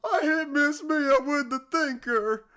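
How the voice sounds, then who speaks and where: A panicky, cowardly male villain in a visual novel game. The voice is high and melodramatic. Words come very slowly, broken by stammers. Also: sharp tone, slight background noise, exaggerated voice. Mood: defeated, whimpering.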